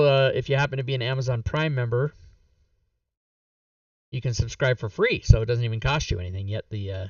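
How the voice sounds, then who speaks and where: A man's voice: mid-range voice, no echo. A man hosting a tabletop game stream, chatting casually and rambling with lots of fillers while losing his voice. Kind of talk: casual end-of-stream chat. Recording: dull, slightly distant, dry mic.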